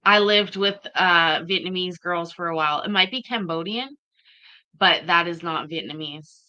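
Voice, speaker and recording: Woman chiming in, lowish pitch but bright and sharp, blunt about it. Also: normal speed, muffled recording. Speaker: commentator. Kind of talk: casual reaction commentary.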